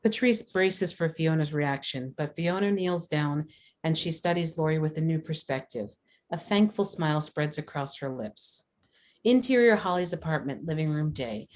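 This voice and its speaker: A woman reading scripted stage directions as a narrator, in a measured, descriptive manner. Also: low-pitched, darkish tone.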